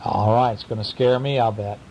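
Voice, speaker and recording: A man's voice: fairly even intonation, fairly quick, a little mellow, poor audio. A man, a father, telling a bedtime story to a child in a playful, theatrical, teasing way, at home. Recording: home recording with rumble and background noise.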